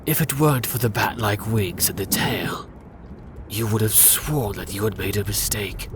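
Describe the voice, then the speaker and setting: A man narrating a scripted fantasy ASMR roleplay in a hushed, often whispered voice with dramatic pauses. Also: lowish voice, background noise.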